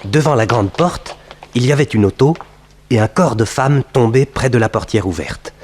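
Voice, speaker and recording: A man narrates a vintage radio thriller. His mid-pitched voice moves with lively intonation. The delivery is scripted and dramatic, built for suspense. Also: normal speed, a little hiss or hum.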